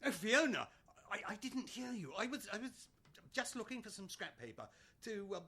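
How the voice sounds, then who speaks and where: A man playing a pompous, evasive gentleman con man in a radio comedy, blustering in old-fashioned polite phrases. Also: high voice, very animated, normal speed, husky, exaggerated voice. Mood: flustered, evasive. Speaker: actor in a radio play. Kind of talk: theatrical radio comedy acting.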